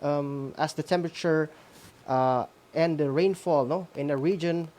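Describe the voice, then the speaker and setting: A man's voice: a little high, steady tone, a little slow, darkish tone. A man with a Filipino accent hosting and informally recapping a lesson for students, hesitant and often adding a questioning tag. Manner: hesitant, frequently tags phrases with "no?". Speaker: host.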